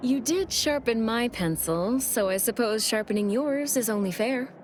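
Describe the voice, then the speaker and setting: A woman playing a shrewd, composed dealmaker in a video game, speaking in a measured, formal, businesslike way. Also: on the high side, animated, a little hiss or hum, natural voice. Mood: measured bargaining. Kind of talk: scripted video game dialogue.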